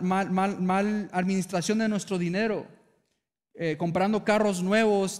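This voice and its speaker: A man with an Argentine accent preaches earnestly from a church pulpit, his voice sitting high. He calls out to the congregation for amens. The sound rings with the long reverb of a big hall. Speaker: pastor. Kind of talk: sermon. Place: church sanctuary.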